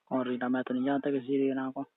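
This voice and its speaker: A man's voice: mid-range voice, completely flat delivery, fast talker, no room sound. A man chatting casually on a recorded phone call, questioning and protesting defensively.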